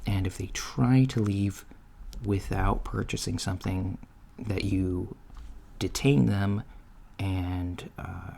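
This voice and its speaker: A man acts out an apologetic, inexperienced male alien interviewer in an ASMR roleplay. He speaks softly and haltingly in a lowish, gravelly, mellow voice. The lo-fi recording has faint noise and keyboard typing. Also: full character voice. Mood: matter-of-fact, apologetic. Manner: hesitant, halting, mumbling asides. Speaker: job interviewer. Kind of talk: soft-spoken ASMR roleplay. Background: computer keyboard typing.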